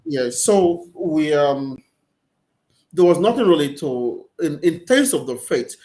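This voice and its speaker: A middle-aged Nigerian-accented man speaks in a fairly high voice for a man, heard over a video call from a small room. He pauses and repeats words while he looks for the right phrasing, in an easy conversational way. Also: expressive, warm tone. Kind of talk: casual interview conversation. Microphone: video call, laptop or webcam.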